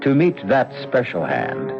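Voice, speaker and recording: A man hosting a radio mystery series with theatrical scripted narration, delivered in a suspenseful, deliberate, dramatic way. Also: medium pitch, wildly swooping pitch, vocal fry. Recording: dull vintage radio recording with music.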